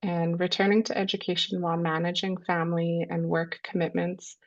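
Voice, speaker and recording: A woman with a low voice and a cold, hosting a webinar and introducing its speakers in a measured way with frequent ums. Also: little pitch movement. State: has a cold. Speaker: webinar host. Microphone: video call. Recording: lo-fi video call audio.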